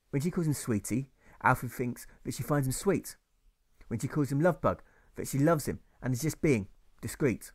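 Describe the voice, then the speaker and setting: A man reading his own rhyming novel as scripted audiobook narration, with a rhythmic delivery. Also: medium pitch.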